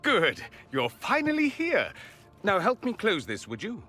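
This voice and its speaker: An urbane mage from a fantasy game, flippant and jaunty. The voice is high and forceful with a husky edge, over faint music. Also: male voice, wildly swooping pitch, a little slow, heavily acted. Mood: urgent, flippant.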